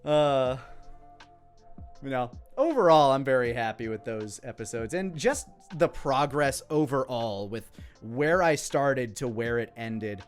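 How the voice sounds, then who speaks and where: A man's voice: medium pitch, expressive, unhurried, soft background music. A man giving casual commentary on his own work, rambling, candid and sweary. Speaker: creator commenting on own parody series.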